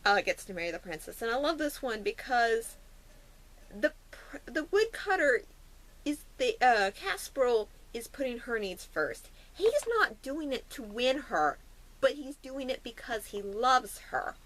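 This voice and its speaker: A woman's voice: on the high side, expressive, measured pace, a bit bright, a little hiss or hum, poor audio. A woman chatting casually and unscripted as a vlogger, retelling stories in a playful, slangy way with frequent asides. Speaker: vlogger recounting favourite fairy tales. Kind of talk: casual unscripted chat to camera.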